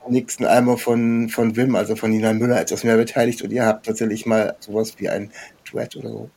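A man's voice: fairly low pitch, level tone, brisk, creaky, darkish tone. A middle-aged man hosting a casual interview, rambling and hesitant as he works towards his questions. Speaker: interviewer, show host. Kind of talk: casual, unscripted interview chat.

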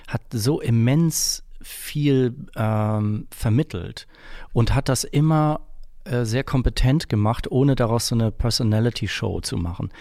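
Mellow middle-aged man, thoughtful interview guest. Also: medium pitch, dry recording, clean studio sound. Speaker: interview guest, musician and composer. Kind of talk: reflective conversational interview.

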